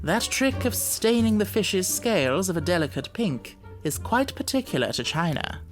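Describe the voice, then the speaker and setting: Man playing a precise, urbane gentleman detective. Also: sits high, sing-song, moderate pace, faint music behind, voice pushed up. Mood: explanatory. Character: brilliant gentleman detective, self-assured, precise, wryly amused.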